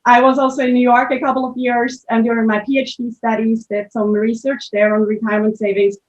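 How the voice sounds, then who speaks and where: A woman's voice: on the high side, flat delivery, brisk. A woman from Switzerland with a Swiss accent, giving a methodical conference presentation on financial literacy over a video call. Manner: explanatory, methodical, with frequent restarts. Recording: muffled lo-fi video call audio.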